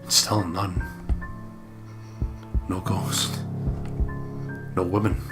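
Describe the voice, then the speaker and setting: A very low male voice delivers dramatic first-person narration, reading from a visual novel. The pitch stays in a narrow band, and loud music plays underneath. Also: flat delivery, very slow. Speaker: let's play host reading a visual novel aloud. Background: loud game music.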